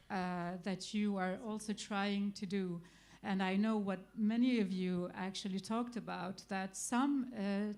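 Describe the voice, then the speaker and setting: A middle-aged woman with an Arabic accent addresses an audience in English, her third language. She pauses often, repeats words and gropes for phrasing. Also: medium pitch, a little mellow. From Iraq. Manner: hesitant, many fillers and restarts. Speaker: festival guest speaker. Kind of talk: spontaneous public talk.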